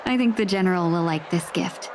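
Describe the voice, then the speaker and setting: A woman voicing a polite, gentle young traveller in an anime-style video game, speaking in a courteous, well-spoken way. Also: on the low side, brisk, noisy background, natural voice. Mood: courteous, pleased.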